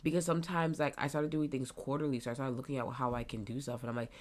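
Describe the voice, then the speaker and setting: Stuffy-nosed young woman with a very low voice. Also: level tone, fast. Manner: rambling, thinking out loud. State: stuffy nose. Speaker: podcast host. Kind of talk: casual solo podcast monologue.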